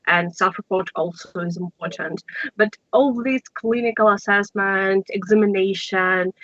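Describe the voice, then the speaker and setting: A panelist in a medical discussion, speaking carefully with pauses. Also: medium pitch, fairly bright tone, dry recording. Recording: muffled dry video call audio.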